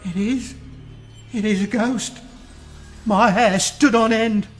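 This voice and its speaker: Terrified superstitious servant guard, high voice, excitable, gothic radio play, faint music. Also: male voice, slow. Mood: awestruck fright. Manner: awestruck, excitable. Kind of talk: gothic radio drama acting.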